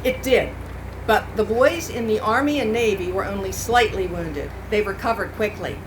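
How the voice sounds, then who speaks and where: A woman's voice: mid-range voice, moderate pace, natural voice. A woman playing a proud, plainspoken 1940s war mother in a live radio-play reenactment. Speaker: reenactor reading a radio script. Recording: noisy live event recording.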